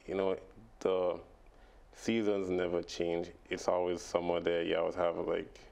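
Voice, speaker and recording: Brief: young man, very low and gravelly voice, halting and laughing as a radio guest. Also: monotone, a little mellow. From British Virgin Islands. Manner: halting, laughs often, many fillers. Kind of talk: casual radio interview. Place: radio studio.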